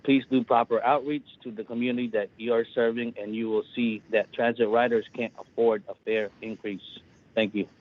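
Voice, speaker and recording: A man's voice: medium pitch, level tone, fairly quick, phone-quality sound. A man whose first language is Filipino, giving an earnest, pleading public comment by phone. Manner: earnest, pleading, repetitive phrasing. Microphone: phone line.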